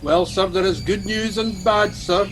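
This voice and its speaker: A man's voice: sits high, a little slow, a bit bright, faint music behind. A man playing a gloomy, evasive starship engineer with a Scottish accent in a comic radio play. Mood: sheepish. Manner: doom-laden, evasive.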